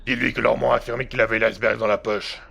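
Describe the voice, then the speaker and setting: A man playing a cynical, trigger-happy gangster in a French audio drama, crude, slangy and sardonic, with a gravelly voice. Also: mid-range voice, level tone, fast talker, pushed and bright, dull audio, full character voice. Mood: wary scheming.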